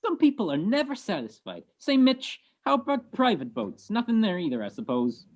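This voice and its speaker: A gruff, wisecracking homicide sergeant , acted by a woman, from an old detective radio play. The delivery swings widely in pitch, with blunt slang and sardonic jabs at the suspects. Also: big pitch swings, moderate pace. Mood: grudging. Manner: sardonic, slangy, blunt tough-cop talk. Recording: video call recording.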